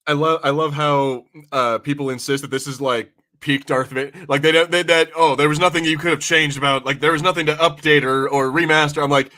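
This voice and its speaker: A man's voice: a little high, fairly even intonation, a little fast, hardly any pauses, pushed and bright. A man hosting a casual chat, opinionated and teasing, swearing freely as he comments along with viewers. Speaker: podcast host.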